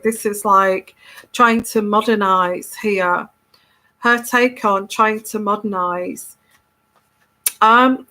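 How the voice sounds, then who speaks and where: A woman's voice: medium pitch, sharp tone, rough recording. A woman with a stuffy head cold, talking casually to her viewers as a tarot reader, often tagging her points with "okay?" and restarting words. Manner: chatty, keeps tagging "okay?", many restarts. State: congested from a cold. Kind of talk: casual solo video monologue.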